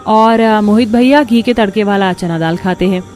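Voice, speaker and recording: A woman's voice: mid-range voice, moderate pace, a little mellow, soft background music, close to an everyday voice. A woman voicing a young sister-in-law in a cartoon story, breezy and a little haughty. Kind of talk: Hindi cartoon story acting.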